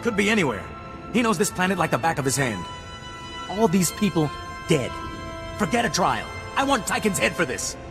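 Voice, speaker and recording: A man's voice: high-pitched, sing-song, normal speed, long pauses, music in the background. A man playing an embittered, urgent military captain in a game. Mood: bitter anger.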